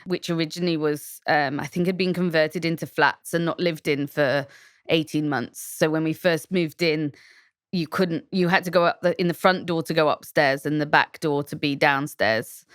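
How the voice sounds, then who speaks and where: A woman from Shropshire with a low, smooth and resonant voice, recorded dry and close. She answers interview questions in a thoughtful, meandering way, full of "um"s and restarts as she gathers her thoughts. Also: steady tone, normal speed, dry recording. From England, Shropshire. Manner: reflective, thoughtful, many fillers and restarts. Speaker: designer guest.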